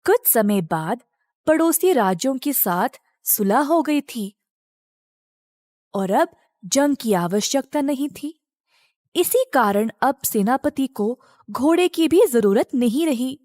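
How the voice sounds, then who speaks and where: A woman's voice: a little high, a little mellow, no room sound, clean studio sound. A woman narrating a scripted moral story for children as a storyteller.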